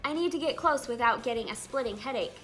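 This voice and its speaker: Woman as storybook superhero man, bold, commanding, firm lines, high bright voice, music behind. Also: quick pace, natural voice. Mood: determined. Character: confident heroic male superhero, bold and commanding.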